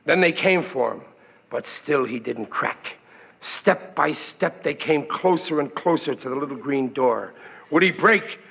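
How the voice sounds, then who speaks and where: A man's voice: a little high, expressive, measured pace, gravelly, fairly bright tone, full character voice. An actor playing a dim, blustering working-class family man in a 1940s radio comedy, slangy and melodramatic. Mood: ominous storytelling. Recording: dull, lo-fi old radio recording.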